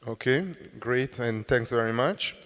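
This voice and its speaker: A man moderating a conference panel, politely handing over and asking a measured question. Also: medium pitch, a bit bright. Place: conference hall. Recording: echoey hall.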